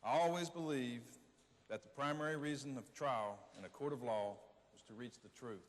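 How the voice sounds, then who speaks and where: A man playing an earnest older father, a prisoner recounting his unfair trial in a deliberate stage monologue. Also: medium pitch, close to an everyday voice. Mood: earnest, reflective. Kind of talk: readers theater monologue, reading a letter aloud. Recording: reverberant hall.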